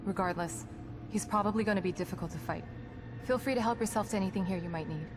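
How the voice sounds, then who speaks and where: An actress playing a composed, guarded psychologist in a video game, speaking in precise, formal clinical terms. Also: female voice, mid-range voice, fast talker, background noise, natural voice. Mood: clinical, focused. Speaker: psychologist character in a horror game. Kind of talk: video game cutscene acting. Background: background music.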